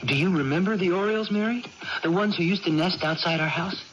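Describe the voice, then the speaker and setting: An actor playing a gentle, anxious, protective young farm brother in a vintage radio drama, earnest and cautious. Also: male voice, high-pitched, fast talker, lightly acted. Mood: gentle, coaxing. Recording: muffled noisy old radio broadcast.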